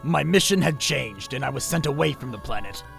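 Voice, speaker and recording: A man voicing a solitary male warrior mentor in an audio drama, grave and measured, with a gravelly voice. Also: mid-range voice, expressive, fairly bright tone, faint music behind.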